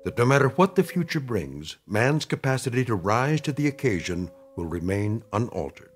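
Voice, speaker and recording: A man's voice: mid-range voice, sing-song, natural voice. A man as a grave anthology narrator. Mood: solemn. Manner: measured, ironic closing narration. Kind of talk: solemn 1950s radio drama narration. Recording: vintage radio recording with music.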